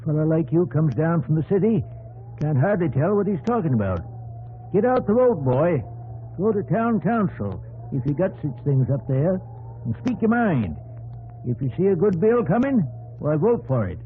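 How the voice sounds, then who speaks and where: An actor playing a gruff, impatient backwoods countryman, scolding in a folksy, plain-spoken way in a 1950s radio drama. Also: a little high, big pitch swings, moderate pace. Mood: scornful, lecturing. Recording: vintage radio broadcast, narrow band, faint noise.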